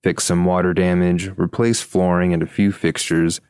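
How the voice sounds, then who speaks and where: Man, podcast story reader, deep pitch, dry studio-like sound. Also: flat delivery, moderate pace, a little mellow, clear, resonant tone, no echo. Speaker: podcast host reading listener stories. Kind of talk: scripted story narration.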